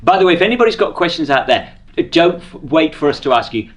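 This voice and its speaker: A man's voice: a little high, sing-song, fast, highs cut off. A man hosting a casual live panel, chatting and quizzing guests in a jokey, encouraging way. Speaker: host of a live fan podcast panel. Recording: live panel with audience behind. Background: crowd.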